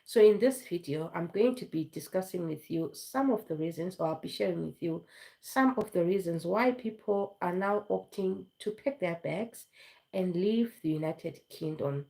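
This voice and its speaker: A woman with a cold talks through a live stream in a chatty, rambling way, circling back to repeat her points. Her voice sits fairly low, and she stumbles over words now and then. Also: animated, moderate pace, gravelly, dark tone, low-quality recording. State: has flu, voice low and foggy. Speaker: vlogger hosting a live stream. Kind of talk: casual live-stream talk.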